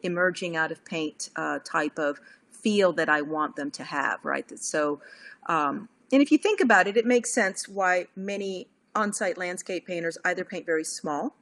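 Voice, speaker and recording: A woman's voice: lowish voice, normal speed. Middle-aged woman chatting on a video call. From Cuba. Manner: animated, thinking aloud with many fillers and restarts. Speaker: painter. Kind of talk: casual interview talk. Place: home studio indoors. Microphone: laptop webcam video call.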